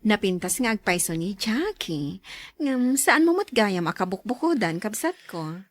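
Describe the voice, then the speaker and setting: An actress playing an outspoken, caring sister in an Ilocano radio drama. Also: female voice, medium pitch, sing-song, a little slow. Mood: advising, chiding. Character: outspoken, caring sister who scolds her brother.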